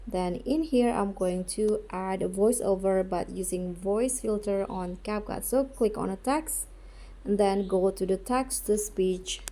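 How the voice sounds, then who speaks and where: A woman narrates a step-by-step app tutorial in a soft-edged, mellow voice. She takes it slowly, at a medium pitch, halting between short phrases as she guides the viewer. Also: slight background noise. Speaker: tutorial host.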